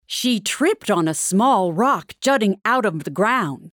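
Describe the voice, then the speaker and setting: A woman narrating a storybook tale from a script in a dramatic, deliberate manner. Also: medium pitch, expressive, a bit bright, no echo, clean studio sound.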